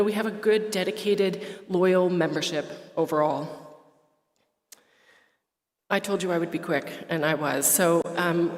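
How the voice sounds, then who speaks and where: A woman from Quebec whose first language is French, giving a brisk report at an association's annual meeting, with some fillers and restarts. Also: lowish voice. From Quebec, Canada. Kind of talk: prepared speech at a meeting. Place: meeting hall at an airport. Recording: roomy hall reverb.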